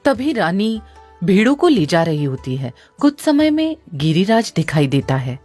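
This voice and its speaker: A woman narrating a cartoon story in a lively storybook tone. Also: fairly low pitch, a little slow, soft background music.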